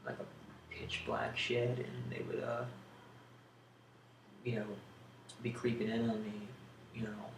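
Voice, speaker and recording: A man speaking in a casual ASMR question-and-answer style, rambling hesitantly in a low-key way. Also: flat delivery, takes it slowly, long pauses. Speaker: video creator answering tag questions. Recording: roomy echo, clear background noise.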